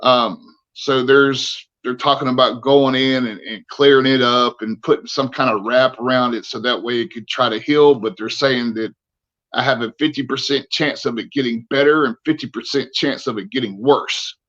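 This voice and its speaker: Earnest, halting man in his forties. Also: mid-range voice, steady tone, a bit bright, muffled recording. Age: 40s. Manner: earnest, halting, many restarts. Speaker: interview guest, army veteran. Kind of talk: candid podcast conversation.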